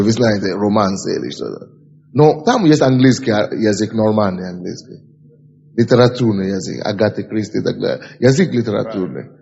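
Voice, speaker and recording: A male pastor from Africa speaks, his voice slightly breathy and rough. He lectures in an exhorting way, repeating key phrases and asking his class questions. The recording sounds dull and lo-fi, with a long reverb trailing off through a large hall. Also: mid-range voice, a little mellow. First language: an African language. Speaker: pastor teaching a ministry school.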